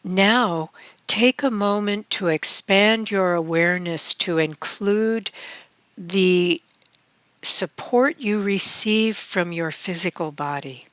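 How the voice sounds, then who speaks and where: A woman leading a guided meditation in a calm, measured manner, with long pauses between phrases. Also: mid-range voice, clear, resonant tone, no echo.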